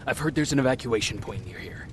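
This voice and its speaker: An actor playing a steady, protective young resistance soldier in a video game cutscene. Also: male voice, mid-range voice, quick pace, a little hiss or hum, close to an everyday voice. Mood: sober.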